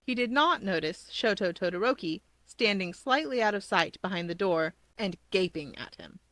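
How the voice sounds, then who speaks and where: A woman's voice: mid-range voice, expressive, moderate pace, fairly bright tone, a little hiss or hum. A woman reading fan fiction aloud as a scripted story narration.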